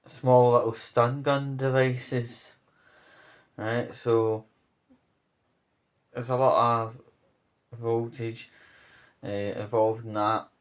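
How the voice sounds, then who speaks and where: A man giving off-the-cuff spoken commentary on local news, rambling, pausing mid-phrase and checking in with the listener. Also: medium pitch.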